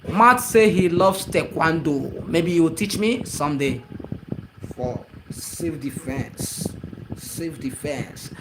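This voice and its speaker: A man from eastern Nigeria with a Nigerian accent, whose first language is Igbo, hosts a casual live stream. His voice sits a little high, and he tends to repeat phrases and call out to viewers by name. Steady background noise runs under the recording. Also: slow. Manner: repetitive, greets commenters excitedly by name.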